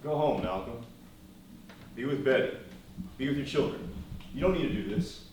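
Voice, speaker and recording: A man's voice: mid-range voice, expressive, normal speed, darkish tone, close to an everyday voice. A man acting in a stage play as a loyal, worried, hard-headed bodyguard and aide, urgent and pleading in his delivery. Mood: pleading, uneasy. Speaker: stage actor. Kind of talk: live one-act stage play acting. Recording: very echoey stage, distant mic, faint noise.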